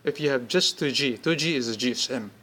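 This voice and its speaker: A man giving an informal, unscripted product demonstration, speaking haltingly in short pieced-together bursts. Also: mid-range voice, bright, forceful tone. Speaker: app demonstrator.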